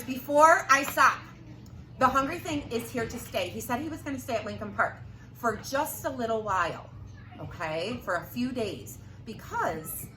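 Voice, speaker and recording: A woman teacher reading aloud to young children, expressive and prompting them with questions. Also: a little high, far from the mic. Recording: roomy echo with clear background noise.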